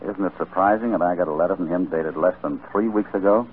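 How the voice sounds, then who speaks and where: Man acting a very deep-voiced American cowboy hero. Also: quick pace, close to an everyday voice. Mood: probing. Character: shrewd, calm cowboy hero, adult man. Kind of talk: old-time radio western acting. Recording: muffled, noisy vintage radio recording.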